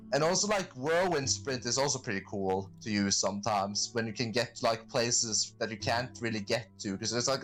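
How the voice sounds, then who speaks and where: A man's voice: medium pitch, a bit bright, poor audio. A man guesting on a podcast in casual chat, rambling and hesitant with many fillers.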